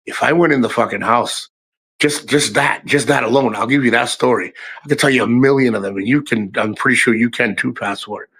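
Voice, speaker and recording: Gravelly congested man chatting on stream. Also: mid-range voice, fairly quick, fairly bright tone. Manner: rambling, profane, restarts often, addresses chat by name. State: congested, voice low from a cold. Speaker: livestream host. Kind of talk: casual live-stream chat to viewers. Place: his house.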